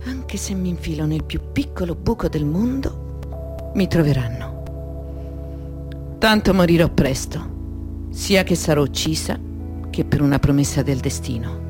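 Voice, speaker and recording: A woman's voice: low voice, animated, measured pace, long pauses. An actress playing a defiant, anguished woman who informs on the mafia. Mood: bitter, resigned. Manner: intense, emotive, broken into short dramatic phrases. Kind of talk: dramatic radio theatre monologue. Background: music.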